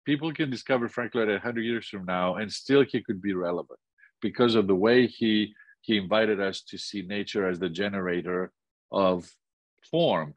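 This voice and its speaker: A man's voice: fairly low pitch, dry recording. An older man from Greece with a Greek accent, giving an illustrated lecture over a video call, thoughtful and full of restarts. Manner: thoughtful, many restarts and pauses. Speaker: architecture teacher.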